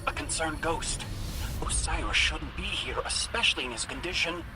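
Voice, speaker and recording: A man's voice: on the high side, normal speed, background noise, close to an everyday voice. A man voicing a small, nervous robotic ghost in a video game, polite, chatty and a bit awkward. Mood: concerned. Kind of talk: video game character dialogue. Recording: music under dialogue. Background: music.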